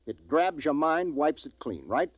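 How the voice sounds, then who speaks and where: Through a narrow, tinny vintage radio band comes an American spaceship captain, a man of thought and command. His higher-set voice swings expressively as he reasons and gives deliberate orders in 1950s science fiction radio style. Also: very animated, dry recording. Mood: commanding, urgent. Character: commanding, thoughtful male spaceship captain who narrates the story.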